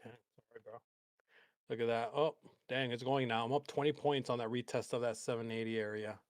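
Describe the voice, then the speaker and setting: A man's voice: medium pitch, fairly even intonation, a little fast, no room sound. A man hosting a live trading stream, talking through charts and chatting with an easy laugh. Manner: chatty, laughs easily, thinks aloud. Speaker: host trader.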